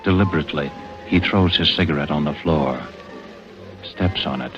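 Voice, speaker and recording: An actor serving as the grave narrator of a 1940s-style radio suspense thriller. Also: male voice, a bass voice, normal speed. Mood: suspenseful. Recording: muffled vintage radio recording, music behind.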